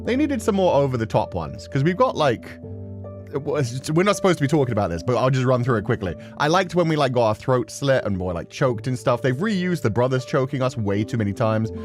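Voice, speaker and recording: A man chatting casually and expressively as a livestream host before playing a game. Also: mid-range voice. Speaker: livestream host, gaming streamer. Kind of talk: casual rambling stream chat before a game.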